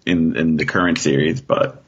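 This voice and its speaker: A man's voice: a bass voice, fairly even intonation, moderate pace, husky. A man chatting casually as a podcast guest, rambling and thinking aloud as he goes. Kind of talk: casual chat. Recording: dull, muffled lo-fi sound.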